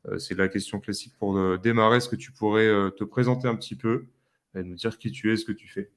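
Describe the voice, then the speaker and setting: Low-voiced male podcast host. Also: fast talker. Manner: relaxed and friendly, with frequent hesitations. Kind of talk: casual conversational interview.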